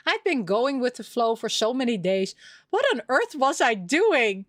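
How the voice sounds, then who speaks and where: A woman reading a story game aloud expressively, dropping in casual asides, with an animated delivery. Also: on the high side, unhurried, no room sound, clean studio sound. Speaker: streamer reading a visual novel aloud.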